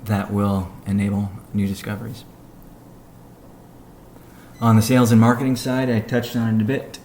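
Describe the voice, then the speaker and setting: Male, low creaky voice, measured business delivery, conference call update, pauses between phrases, faint background noise. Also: darkish tone. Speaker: company executive giving an update and answering questions. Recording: noisy conference call audio.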